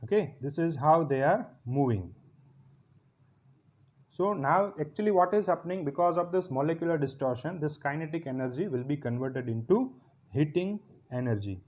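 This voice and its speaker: A man giving a step-by-step physiotherapy lecture over slides, often pausing to ask if it is clear. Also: a little high, lively intonation, darkish tone.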